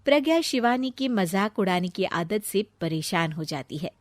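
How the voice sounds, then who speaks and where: A woman's voice: mid-range voice, animated, normal speed, no echo. A woman narrating a scripted family story as the storyteller.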